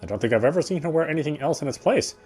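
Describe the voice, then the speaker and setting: A man reading first-person story narration aloud in a theatrical, fussy gentleman's manner. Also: fairly high pitch, expressive, rapid-fire, breathy edge, soft background music. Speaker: game player reading aloud.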